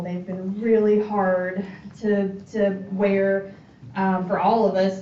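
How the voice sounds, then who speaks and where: A woman's voice: mid-range voice, warm tone, a little hiss or hum. A woman, a hearing specialist giving an informal talk, chatty and full of fillers. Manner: chatty, many fillers and restarts. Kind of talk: informal community presentation. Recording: roomy, distant, dull sound.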